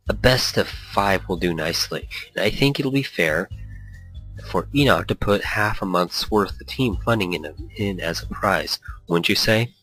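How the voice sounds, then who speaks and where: A man's voice: low-pitched, pushed and bright, rough recording. A man voicing a confident, persuasive female pro gamer in a visual novel game, composed as they negotiate. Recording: faint music behind the voice.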